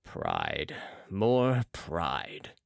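A solemn, mysterious master who speaks gravely and quietly, as a reader puts him on. The pitch drops below the reader's own and the delivery is unhurried. The recording is dry and close. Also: sing-song, no echo, heavily acted. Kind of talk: audiobook character reading.

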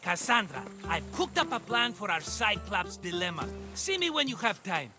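High-voiced, wheedling schemer. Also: male voice, big pitch swings, moderate pace, over music. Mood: ingratiating. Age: middle-aged. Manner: ingratiating, theatrical, glib. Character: wheedling, theatrical middle-aged Greek schemer, slippery and cheerful. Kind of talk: video game cutscene acting.

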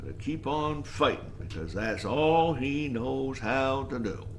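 Weary old war veteran, folksy, slow ruminative speech, swooping pitch, radio drama. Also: male voice, lively intonation, a little hiss or hum. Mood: weary, resigned. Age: older. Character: weary, wary old male lighthouse keeper, war veteran. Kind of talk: audio drama acting.

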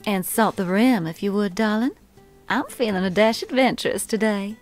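A woman's voice: mid-range voice, moderate pace, soft background music, natural voice. A woman voicing a flirtatious belle in a video game, calling everyone sugar and darling. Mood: flirty. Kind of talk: video game character dialogue.

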